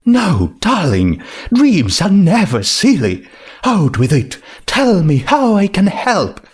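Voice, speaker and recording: Male reader as refined female pony fashionista: high, swooping, gushing, theatrical and very sure of herself. Storybook reading. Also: huge pitch swings, slow, a little mellow, husky, exaggerated voice. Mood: encouraging. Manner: posh, dramatic, calls people darling. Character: elegant, theatrical young female pony dressmaker, gushing and self-assured.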